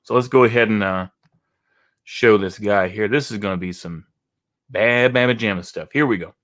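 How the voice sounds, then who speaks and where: Low-voiced rambling man reacting to a video. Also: normal speed, a bit bright. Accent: Texan. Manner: rambling, mocking, frequent restarts. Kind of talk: casual commentary reacting to a video.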